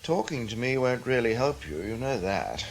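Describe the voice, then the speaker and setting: A man's voice: medium pitch, very animated, normal speed. A man acting in a radio drama as a wary, composed KGB officer, controlled and probing in his talk.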